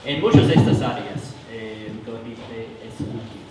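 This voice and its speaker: A man with a foreign accent, not a native speaker, leading a church workshop for adults, halting with many fillers and restarts. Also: medium pitch, crowd noise. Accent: foreign accent in Spanish. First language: not Spanish. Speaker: workshop leader. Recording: roomy hall, mic from far, noisy.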